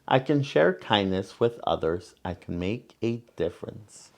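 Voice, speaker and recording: Male children's storyteller, lowish voice with gravelly creak, gentle and deliberate read-aloud delivery. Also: expressive. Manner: gentle, deliberate, pausing between phrases. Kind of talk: read-aloud of a picture book with asides to children.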